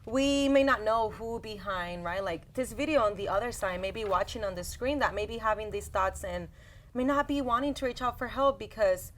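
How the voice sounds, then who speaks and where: A woman's voice: on the high side, normal speed, a little hiss or hum. Encouraging woman podcast host. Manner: warm encouraging, many "like" and "right?" tags. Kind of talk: casual heartfelt interview chat.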